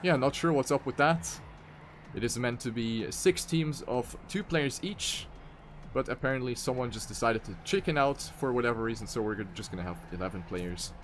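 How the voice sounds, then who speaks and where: A man's voice: mid-range voice, moderate pace, a little hiss or hum. A man casting an online racing game tournament live, giving play-by-play that jumps up on crashes and mistakes. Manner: excitable play-by-play, rising sharply on crashes. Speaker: esports caster.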